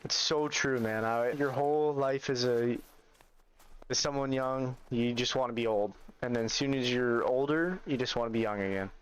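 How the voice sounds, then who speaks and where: A man's voice: mid-range voice, normal speed, clear, resonant tone, poor audio. A man, chatting casually with his livestream chat while playing a hunting game. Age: 20s. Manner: laid-back, chatty, reads and answers chat. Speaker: streamer.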